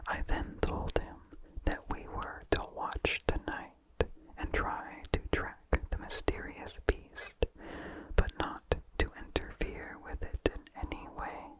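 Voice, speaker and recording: A narrator reading a vintage horror story entirely in a breathy, hushed ASMR whisper, with deliberate phrasing. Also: low-quality recording.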